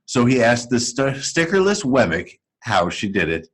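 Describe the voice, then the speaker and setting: Male school storyteller, mid-range voice with very lively intonation, expressive and deliberate, for children's read-aloud. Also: mid-range voice, breathy edge. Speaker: school educator reading aloud to pupils.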